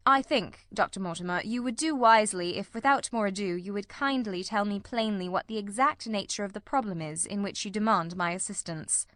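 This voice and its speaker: A shrewd gentleman detective of classic storybook fiction as put on by a woman reader. The delivery is brisk, exact and commanding, with dry wit. The sound is close and dry, at a medium pitch for a female voice. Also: no room sound, natural voice. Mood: tart, impatient. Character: shrewd, self-assured, dryly witty male detective. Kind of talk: audiobook character voice.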